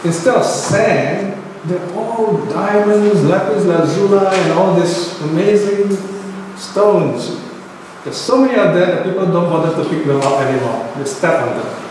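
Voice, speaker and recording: A man's voice: high-pitched, expressive, a little slow. An older man teaching Buddhism in a loose, conversational way, often checking in with "okay" and "right". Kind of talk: dharma talk. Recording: echoey hall, distant mic, noisy.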